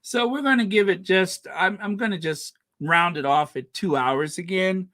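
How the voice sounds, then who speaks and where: A man hosting a casual livestream, chatting with viewers and reading their comments aloud, animated and quick to laugh. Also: high-pitched, dry recording, studio quality. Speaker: livestream host.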